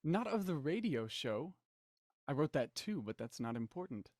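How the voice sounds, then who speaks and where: An actor playing a smug, menacing villain in an audio drama, mocking, theatrical and condescending in manner. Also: male voice, on the high side, sing-song, moderate pace, a little mellow, no room sound, clean studio sound. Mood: smug, taunting. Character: smug, menacing male villain, cold culprit and puppet-master writer.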